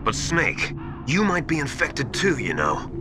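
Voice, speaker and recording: An anxious, earnest scientist ally in a video game. He speaks hesitantly and confidingly, with animated pitch. Loud music sits behind him. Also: unhurried. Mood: worried. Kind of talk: dramatic video game acting.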